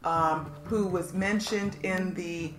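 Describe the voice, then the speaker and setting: A woman's voice: on the low side, fairly even intonation, takes it slowly, reverberant, over music. A woman hosting a podcast, announcing and bantering in a casual, chatty way. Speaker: podcast host.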